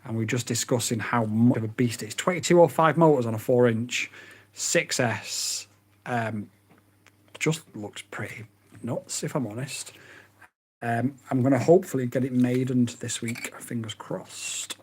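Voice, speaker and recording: A man with a croaky, hoarse voice, hosting a casual livestream and chatting excitably with viewers. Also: mid-range voice, a little slow. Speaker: livestream host.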